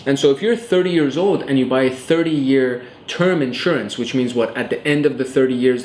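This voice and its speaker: A man's voice: mid-range voice, a little mellow, slight background noise. A man in his late twenties hosting a show, explaining money topics in a casual, chatty way straight to camera, with lots of fillers. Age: 20s. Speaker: host. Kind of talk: casual educational vlog talk. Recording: roomy echo with faint ambience.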